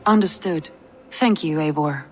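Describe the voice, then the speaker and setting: A woman acting as a composed, supportive Viking adviser in a video game, calm and formal. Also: low voice, measured pace, noisy background.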